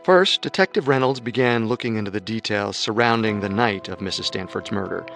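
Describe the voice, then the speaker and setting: A male narrator with a low, gravelly voice tells a true-crime story at a measured pace. His delivery is scripted and steady, with soft music underneath.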